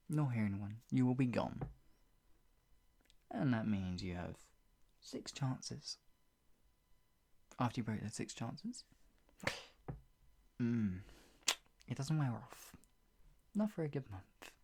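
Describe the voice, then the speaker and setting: An actor playing a sadistic, gloating yandere in an ASMR roleplay, with a breathy, husky voice that often drops to a whisper. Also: medium pitch, a little mellow, full character voice. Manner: menacing, slow deliberate phrasing with sighs. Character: sadistic, gloating, murderous yandere obsessive taunting a captive. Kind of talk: improvised ASMR roleplay, intimate monologue.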